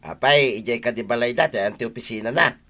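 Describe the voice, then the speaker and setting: A man's voice: mid-range voice, brisk, pushed and bright, lightly acted. A man with an Ilocano accent playing an aggrieved, grumbling villager in a comedic radio drama, full of exclamations and complaints. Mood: annoyed.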